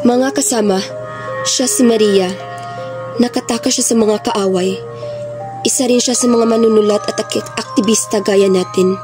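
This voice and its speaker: A woman's voice: a little high, steady tone, normal speed, long pauses, music in the background, lightly acted. A woman playing a concerned, composed activist in an amateur radio drama, speaking formally and earnestly. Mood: sober, earnest. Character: concerned, composed activist comrade introducing a newcomer.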